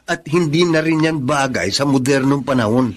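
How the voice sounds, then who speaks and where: An actor playing a pushy, impatient young boyfriend in a Filipino radio drama, with a Filipino accent. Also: male voice, medium pitch, normal speed, dry recording, natural voice.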